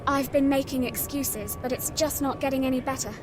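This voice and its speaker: A woman's voice: sits high, fairly even intonation, fairly quick, over music, natural voice. A woman playing a frightened singer in servitude, anxious and pleading, in a video game cutscene.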